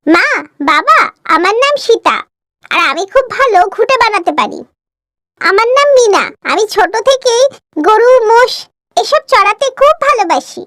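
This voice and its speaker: A woman plays a young village bride in a cartoon, simple and respectful. The voice is very high, lilting widely and recorded dry. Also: pushed and bright, dry recording, full character voice. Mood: eager. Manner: respectful, earnest. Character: polite, eager young village daughters-in-law, simple and earnest.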